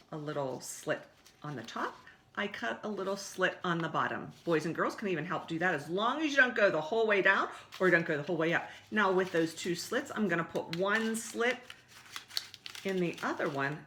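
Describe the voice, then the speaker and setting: A woman's voice: fairly low pitch, pushed and bright, a little hiss or hum. A woman leading a story time for young children, speaking in simple, encouraging words as she explains songs, stories and activities. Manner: simple, encouraging address to small children with repetition.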